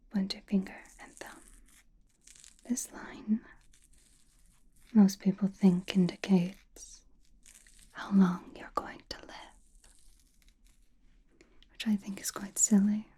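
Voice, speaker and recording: A woman playing a mysterious, attentive sorceress fortune-teller in a whispered ASMR roleplay, speaking softly and deliberately close to the microphone. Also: medium pitch, little pitch movement. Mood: calm, attentive. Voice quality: breathy, mostly unvoiced whisper. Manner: slow, deliberate, soothing with soft pauses. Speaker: ASMR performer.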